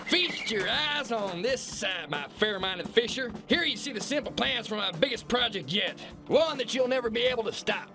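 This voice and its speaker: Scornful alpha-male boss, high gravelly voice, wildly swooping delivery, theatrical bluster, music behind. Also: expressive, normal speed, few pauses. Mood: smug, scornful. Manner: blustering, condescending, mocking. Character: bullying, corrupt alpha-male kingpin boss, bombastic and scornful. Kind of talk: theatrical radio-play acting.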